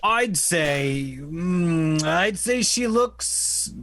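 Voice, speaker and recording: A performer plays a gentle, teasing male confidant in an old radio comedy. The voice is gravelly at the edges and slow, swinging up and down through coy hesitations. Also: mid-range voice, animated, heavy background noise. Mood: coy, hesitant. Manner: halting, drawn-out, coy hesitations. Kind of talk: 1940s radio play acting.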